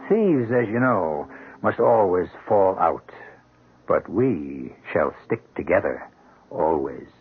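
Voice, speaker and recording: A man hosting and narrating a 1970s radio mystery in a dramatic, deliberate, ominous scripted style. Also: deep voice, sing-song, slightly rough, phone-quality sound. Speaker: host and narrator. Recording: thin vintage radio recording with music.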